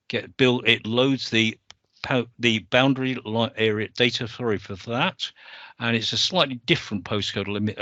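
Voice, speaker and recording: A man with a low voice talks through a software demonstration from a screen share, methodical and prone to small self-corrections. The sound is dry and close, with the slightly dull, muffled tone of a conference call. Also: no echo. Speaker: software presenter.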